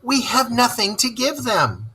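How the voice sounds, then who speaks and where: A man as an eager, high-pitched storybook mole. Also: huge pitch swings, unhurried, bright, forceful tone, husky, exaggerated voice, low-quality recording. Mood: despairing. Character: eager, excitable, warm-hearted male mole.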